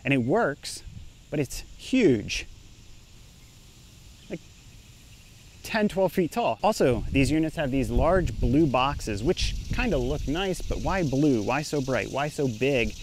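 A man's voice: medium pitch, expressive, slow pace, pauses often, slight background noise. A young man, an engineer, casually explaining to camera while standing outdoors on a residential street. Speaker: engineer and startup founder.

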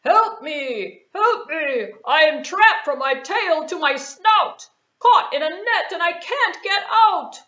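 A woman voicing a big, proud male lion who talks loudly and boastfully in a puppet show. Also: very high voice, animated, slow, voice pushed up, exaggerated voice. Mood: distressed. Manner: loud, boastful, roaring. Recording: echoey room, dull lo-fi sound.

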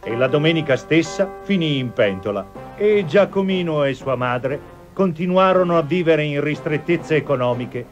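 A man's voice: on the high side, animated, moderate pace. A man reading scripted storybook narration for a cartoon fairy tale. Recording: dull lo-fi TV audio, music behind.

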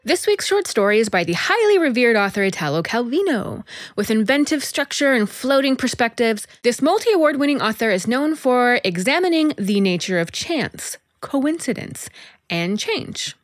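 A woman's voice: fairly high pitch, moderate pace, dry recording, clean studio sound. A woman co-hosting a podcast, chatting casually, laughing often, sometimes unsure of herself. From Pacific Northwest. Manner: lively, laughs often, hesitant. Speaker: podcast co-host. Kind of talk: casual chat and scripted intro.

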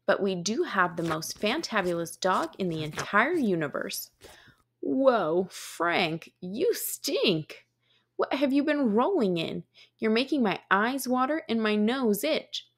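A woman voicing a busy, excitable little girl in a storybook, dramatic and exclamatory, stretching out her words. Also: fairly high pitch, takes it slowly, full character voice. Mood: excited, dramatic.

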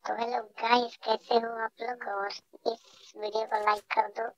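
High, monotone cartoon voice-changer character voiced by a man. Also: unhurried, muffled recording, a little hiss or hum, full character voice. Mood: flat greeting. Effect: pitched-up cartoon voice changer filter.

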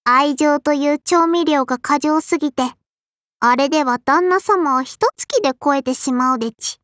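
Tiny high-voiced lisping sparrow-spirit girl. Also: normal speed, fairly bright tone, no room sound, studio quality. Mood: prim, critical. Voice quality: childlike, lisping. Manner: polite but scolding, quirky verbal tic. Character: small sparrow-spirit girl, strict, prim, proud cook and swordswoman. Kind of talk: anime game character voice lines.

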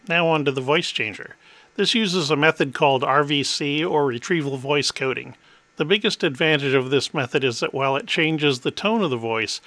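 A middle-aged man hosting a tech demo, explaining in a clear, methodical way. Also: mid-range voice, sharp tone, no room sound. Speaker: host.

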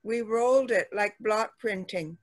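A woman's voice: mid-range voice, measured pace, dry recording. Rambling woman on a dry video call. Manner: rambling, many fillers and restarts, warm recommendations. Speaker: participant. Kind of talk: casual chat on a video call.

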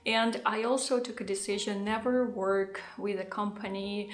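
A woman in her thirties, not a native English speaker, talking to the camera at home in a thoughtful, unhurried vlog with soft laughs. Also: mid-range voice, steady tone. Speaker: vlogger. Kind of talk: casual talking-head vlog. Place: home living room, indoors. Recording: echoey room.

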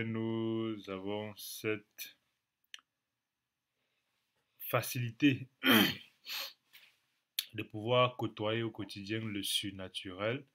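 Slow, low-voiced preacher man with a cold. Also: a bit bright. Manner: slow, measured, repeats phrases for emphasis. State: has a cold, clears throat. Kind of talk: Bible teaching to camera. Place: small indoor room at home.

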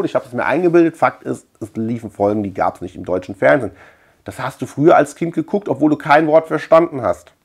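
Man, middle-aged, from Germany, average pitch, colloquial, rambling casual chat, thinking out loud, indoor room. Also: breathy edge. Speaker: collector and video channel host. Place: small indoor room lined with shelves.

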